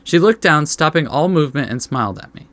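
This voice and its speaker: A man's voice: a little high, expressive, brisk, fairly bright tone, dry recording. A man hosting a let's play, reading a visual novel aloud with informal commentary and stumbling over the text now and then.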